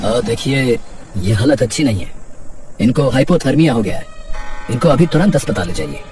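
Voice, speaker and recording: Grave, firm doctor played by a male actor, medium pitch, formal cartoon delivery, off-mic over music. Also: animated, normal speed, lightly acted. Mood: urgent. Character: grave, urgent doctor breaking bad news. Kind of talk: Hindi cartoon voice acting. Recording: distant voice, music behind.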